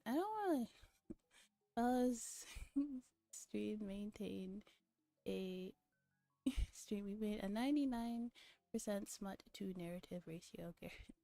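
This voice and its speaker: Slow-talking, giggly young woman streamer. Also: mid-range voice, animated, vocal fry, warm tone, dry recording, faint music behind. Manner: giggly, informal, rambling, teasing. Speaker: livestreamer. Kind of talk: casual livestream chat with viewers.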